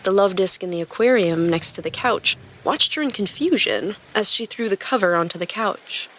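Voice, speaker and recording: A woman's voice: mid-range voice, expressive, moderate pace, fairly bright tone, rough recording. Woman reading stories, faint noise behind. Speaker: podcast host and story reader. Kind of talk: casual hosting and scripted story narration.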